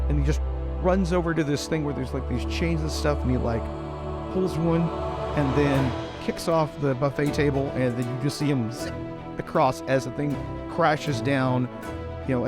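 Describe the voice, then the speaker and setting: A man playing a tabletop roleplaying game, talking casually and improvising as he goes. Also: mid-range voice.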